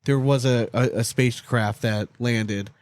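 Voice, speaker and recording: A man with a North American accent chats casually as a podcast co-host. His voice sits at a middle pitch in a very dry recording. He tells stories in an animated, profane way, leaning on "like" as he goes. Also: unhurried, dry recording. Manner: profane, animated storytelling with frequent "like".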